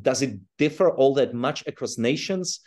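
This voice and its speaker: A man from Czechoslovakia and South Africa, a guest author, explaining his ideas in a relaxed interview over a video call, often restarting phrases. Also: mid-range voice, normal speed. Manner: thoughtful, restarts phrases, chuckles while explaining.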